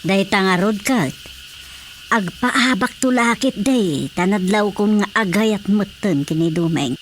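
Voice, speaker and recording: Actress as conspiring older Ilocano wife, skeptical and homely, radio comedy with noisy background. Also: female voice, medium pitch, a little slow, lightly acted. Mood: worried, gossipy. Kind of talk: Filipino radio drama comedy acting.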